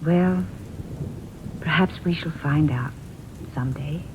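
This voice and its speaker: An actress playing an affectionate, curious wife and travelling companion in an old-time radio drama style. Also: female voice, low-pitched, slow. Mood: tender, curious. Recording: dull noisy old radio recording, rain behind.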